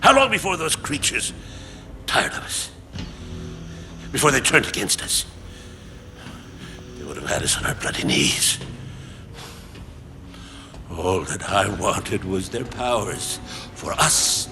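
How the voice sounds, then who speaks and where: A bitter, deranged old villain for a game cutscene. His delivery is slow and halting, lurching widely in pitch as he rants in self-justification. Music plays beneath him. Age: older. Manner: halting, theatrical, ranting. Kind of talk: video game cutscene acting. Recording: music under the voice.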